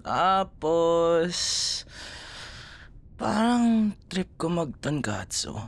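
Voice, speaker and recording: Man acting as slow, tender Filipino boyfriend. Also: fairly high pitch, big pitch swings, gravelly, exaggerated voice. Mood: playful, affectionate. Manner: teasing, tender, chuckles often. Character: affectionate, playful young boyfriend comforting his scared partner. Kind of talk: ASMR roleplay, intimate one-sided dialogue.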